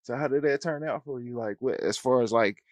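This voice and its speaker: A man hosts a casual music interview in a laid-back, animated way, using slang and laughing quickly. His voice is mid-pitched and the recording is dry and close, with no room sound. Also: no room sound. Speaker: show host, interviewer.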